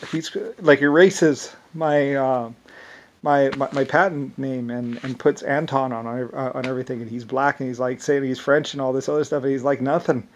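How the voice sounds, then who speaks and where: A man with an Irish accent talking in a rambling monologue, halting often with restarts and fillers. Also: mid-range voice, slight background noise. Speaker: self-described inventor.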